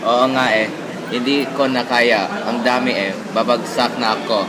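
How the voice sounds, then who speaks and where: A man's voice: medium pitch, fairly even intonation, normal speed, a crowd in the background, lightly acted. A man playing an overwhelmed student in a scripted school radio drama. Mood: weary, defeated. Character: overwhelmed male student close to giving up. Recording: very noisy amateur recording.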